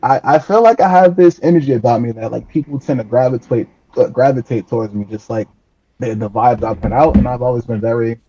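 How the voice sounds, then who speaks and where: A man's voice: medium pitch, fairly quick, dark tone, husky, muffled recording. Joking self-deprecating man in his twenties. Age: 20s. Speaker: podcast guest. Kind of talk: casual interview chat.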